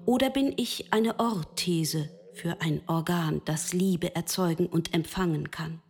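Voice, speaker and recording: Slow, deliberate female robot. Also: on the low side, creaky, a little mellow, slightly rough, soft background music, full character voice. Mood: measured, questioning. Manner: slow, deliberate, measured questioning. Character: love robot, a questioning, self-examining machine. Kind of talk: scripted radio drama.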